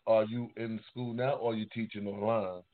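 A man hosting a casual interview, speaking haltingly and repeating words as he goes. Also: fairly low pitch, level tone. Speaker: interviewer, host. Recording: thin phone-like call audio.

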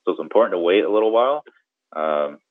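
A man's voice: sits low, lively intonation, fast, no echo, phone-quality sound. A man giving conversational, step-by-step instruction as an expert guest, often checking in with the listener. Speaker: guest boating radio expert.

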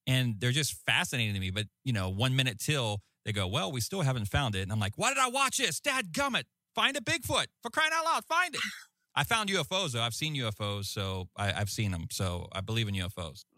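A man co-hosting a podcast, chatting casually with an animated, jokey manner. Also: medium pitch, brisk, no echo, clean studio sound. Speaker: podcast co-host. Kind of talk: casual chat, telling anecdotes.

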